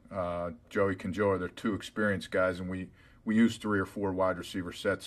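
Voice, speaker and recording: An American man, a college football head coach, answering media questions on a conference call in measured, hesitant phrasing. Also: sits low.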